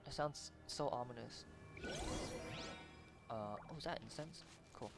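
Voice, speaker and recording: A man's voice: medium pitch, measured pace, vocal fry. A man streaming a game, chatting and reading the player's lines aloud with side remarks. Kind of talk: casual game commentary. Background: game music.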